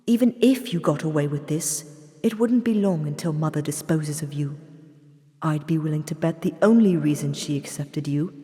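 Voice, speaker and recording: A woman's voice: deep voice, moderate pace, dark tone, lightly acted. A woman playing a shrewd, composed vampire princess and doctor in an audio drama, with dry scorn. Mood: angry, defiant. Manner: composed, accusatory, dryly scornful. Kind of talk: scripted immersive audio drama. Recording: long reverberant hall sound.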